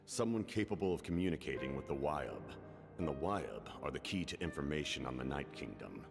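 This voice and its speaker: An actor playing an imperious, guarded male warrior in a video game, speaking in measured, formal phrases with a deep, gravelly voice. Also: lively intonation, heavily acted. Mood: stern, probing. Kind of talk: dramatic video game voice acting. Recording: long echoing reverb under game music.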